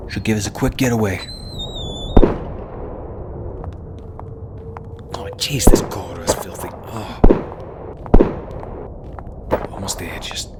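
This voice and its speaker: A loyal, trigger-happy Old West henchman acted by a man talks in a fairly low voice. He is urgent and scrappy, as in an audio roleplay. Loud noise with clicks and bangs fills the recording. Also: fairly quick, lightly acted. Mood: harried, urgent. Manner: urgent, scrappy, muttering to the boss. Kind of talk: ASMR roleplay acting addressed to the listener.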